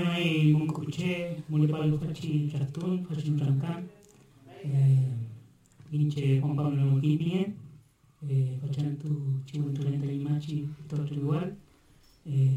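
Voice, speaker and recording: A Chilean man from the south gives a slow, measured greeting to an assembly. His tone is dark and mellow. He sounds far from the mic in a very reverberant room. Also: a little high, steady tone. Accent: Chilean Spanish. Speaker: community member greeting the gathering. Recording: echoey hall, mic far off.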